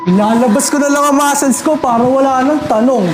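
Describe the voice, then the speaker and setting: A man's voice: extremely high pitch, a little fast, very noisy. A young man with a Filipino accent acting a boastful, laid-back character who gets defensive, in a comic stage play. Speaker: student stage actor. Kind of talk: theatrical one-act comedy stage acting.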